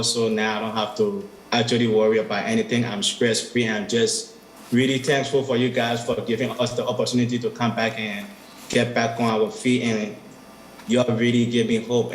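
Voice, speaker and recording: A young man from Liberia tells his story in simple, heartfelt words, keeping his pitch flat and steady. The hearing room echoes around him, and faint ambience hums behind. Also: slight background noise. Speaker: youth testifier. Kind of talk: personal testimony.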